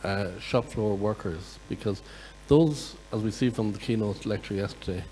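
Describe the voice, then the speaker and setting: A man from Ireland with a fairly low voice puts a question to the panel from the floor. He phrases it in a measured, deliberate way, over a faint background hum. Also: mellow, in a large hall, poor audio. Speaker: audience questioner.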